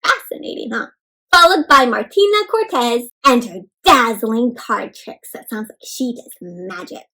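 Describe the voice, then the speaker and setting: Woman, high-pitched, lively swooping intonation, children's storybook read-aloud, simple encouraging words, expressive. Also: a little slow, rough recording. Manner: expressive, gasps and asks listeners questions.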